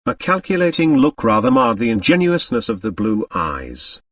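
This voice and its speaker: A man's voice: mid-range voice, wildly swooping pitch, moderate pace, a bit bright, no echo, clean studio sound, recorded from a distance. A man reading scripted literary narration as an audiobook narrator.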